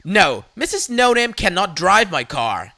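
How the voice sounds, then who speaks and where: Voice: medium pitch, sing-song, unhurried, bright, forceful tone, heavily acted. A narrator voicing a fed-up young woman in her twenties who answers back firmly. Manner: firm and defiant.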